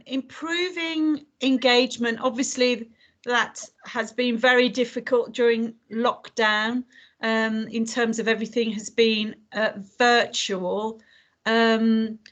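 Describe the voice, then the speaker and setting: A woman's voice: on the high side, fairly bright tone, highs cut off. Hesitant woman leading an online meeting. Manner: hesitant, many fillers and restarts. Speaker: meeting facilitator. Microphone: video call.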